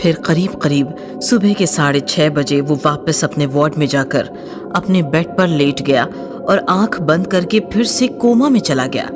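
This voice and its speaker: Low-voiced woman narrating horror. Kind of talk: dramatic scripted horror narration.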